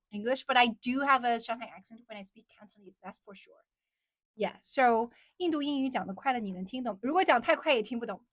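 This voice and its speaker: Young woman, higher voice, dry close sound; chatty livestream host who echoes viewer questions back. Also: fairly bright tone. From China. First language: Chinese. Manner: chatty, repeats questions aloud, switches between English and Mandarin. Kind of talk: casual livestream chat reading viewer comments.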